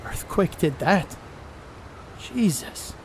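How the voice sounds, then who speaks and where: Male actor as brash middle-aged ballplayer, high pitch, unhurried, blunt teasing, radio drama, faint background noise. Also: lively intonation, gravelly, darkish tone, exaggerated voice. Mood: amazed. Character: brash wisecracking star ballplayer, middle-aged man, gruff but good-natured. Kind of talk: radio play acting.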